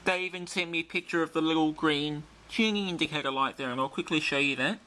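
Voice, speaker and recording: A man's voice: fairly high pitch, quick pace, bright, forceful tone, rough recording. A man giving an unscripted show-and-tell as a video presenter, explaining things carefully and in detail. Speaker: presenter of a vintage radio demonstration video. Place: indoors in a bright room.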